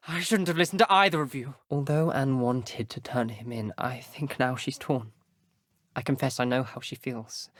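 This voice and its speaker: An actor voicing an earnest, troubled teenage boy wizard in a video game, wary of dark magic, speaking in a measured, grave and articulate way. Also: medium pitch, no echo, studio quality, close to an everyday voice. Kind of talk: video game character dialogue.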